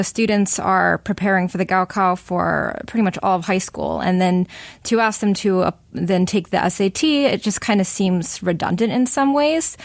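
Young woman with vocal fry. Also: mid-range voice, dry recording. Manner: casual, thoughtful phrasing. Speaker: guest, sociology PhD candidate. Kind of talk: radio interview.

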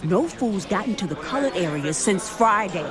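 A woman's voice: mid-range voice, lively intonation, moderate pace, a crowd in the background, close to an everyday voice. An actress in a radio drama playing a frustrated, insistent Chicago woman who confronts authority in folksy, blunt speech.

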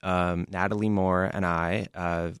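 A man with a deep voice talks as an interview guest. His delivery is casual and halting, and the studio sound is very dry. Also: little pitch movement, fairly bright tone, clean tone, dry recording. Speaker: interview guest, audio drama producer.

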